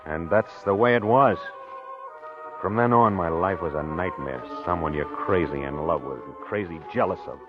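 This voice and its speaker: An American actor playing a jealous, tormented newlywed husband in 1940s radio drama, intense and confessional, quick to anger. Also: male voice, sits low, close to an everyday voice. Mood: brooding, tormented. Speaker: actor and first-person narrator. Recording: dull 1940s radio recording, music behind.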